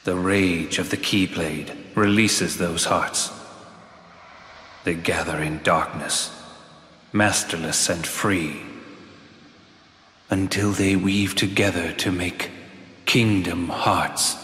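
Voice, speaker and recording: A man's voice: low voice, steady tone, takes it slowly, long pauses, fairly bright tone. An actor, a man, playing a solemn, commanding villain leader in a video game, grave and portentous, in an echoing hall. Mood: brooding. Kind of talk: video game cutscene acting. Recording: echoey hall with long reverb.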